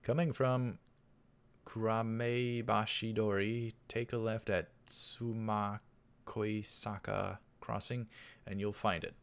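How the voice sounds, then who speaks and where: A man's voice: on the low side, little pitch movement, slow pace, creaky, smooth. A man hosting a let's play, reading visual novel text aloud and adding casual, offhand comments. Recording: music behind the voice. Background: game music.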